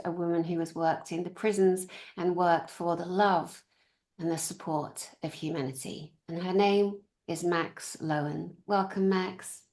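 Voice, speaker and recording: A woman hosts her own interview channel, her voice sitting low. She speaks hesitantly, with many ums and uhs and restarts as she introduces her guest and asks questions. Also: a little mellow. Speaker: host. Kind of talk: interview hosting.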